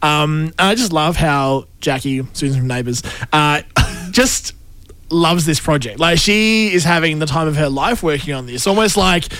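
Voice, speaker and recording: A man's voice: a little high, expressive, moderate pace, fairly bright tone, clear, resonant tone, dry recording. A man with an Australian accent, hosting a casual breakfast radio interview. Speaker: radio breakfast show host. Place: radio studio.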